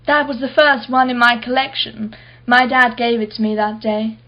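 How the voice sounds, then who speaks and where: A woman acting a haughty, brittle society wife, higher-voiced. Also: fairly even intonation, normal speed, pushed and bright, dull audio. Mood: reminiscing. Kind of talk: radio play acting.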